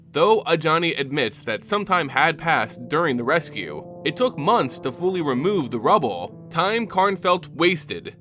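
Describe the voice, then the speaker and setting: A man narrating a scripted fantasy story dramatically, with theatrical, deliberate phrasing. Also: fairly high pitch, bright, forceful tone. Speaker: channel host and narrator.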